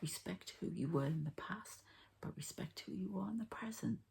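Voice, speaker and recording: Woman, heavy vocal fry, soft dark tone, breathy ASMR whisper, hushed unhurried card reader. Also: lowish voice, animated. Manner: hushed, unhurried, murmuring to the listener.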